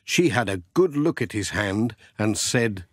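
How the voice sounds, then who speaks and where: A man's voice: on the low side, animated, unhurried, no echo, studio quality. A man narrating a children's audiobook story.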